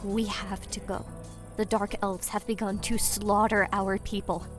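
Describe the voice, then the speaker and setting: A man's voice: high voice, flat delivery, measured pace, music in the background, voice pushed up. A man voicing an earnest young woman who is a ninja master in a fantasy audiobook, speaking with urgency. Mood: alarmed. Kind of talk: fantasy audiobook character acting.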